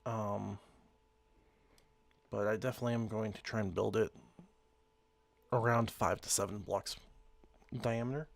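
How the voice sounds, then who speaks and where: A man with a slightly hoarse voice from being sick, casually talking through his gameplay in a rambling, easygoing way. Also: fairly low pitch, fairly even intonation, unhurried, long pauses, dry recording. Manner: rambling, easygoing, self-correcting. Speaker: let's play host.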